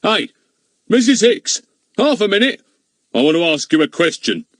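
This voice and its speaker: A man voicing a pompous, slow-witted middle-aged village policeman who blusters and is easily flustered. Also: medium pitch, big pitch swings, no room sound, studio quality. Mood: blustering. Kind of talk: audiobook character voice.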